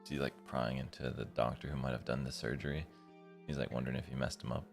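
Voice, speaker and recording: A man's voice: rumbling low, little pitch movement, fast talker, creaky. A man live streaming, reading a visual novel's narration aloud and breaking off to comment casually. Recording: music behind the voice. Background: guitar music from the game.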